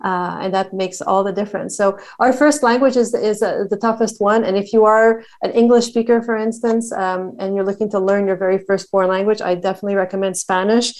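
A woman's voice: mid-range voice, a little mellow. A woman from Montreal, a guest on an interview podcast, talking with enthusiasm and many fillers as she lists places and ideas. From Canada (Montreal). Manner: enthusiastic, talkative, quick listing with fillers. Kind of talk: casual interview conversation.

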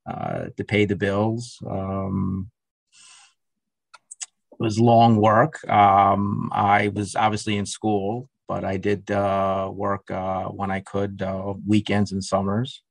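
A man's voice: lowish voice, monotone, slow pace, vocal fry, dry recording. A middle-aged man, a guest in a conversational interview, talking hesitantly with many fillers and restarts. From Russia. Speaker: podcast guest.

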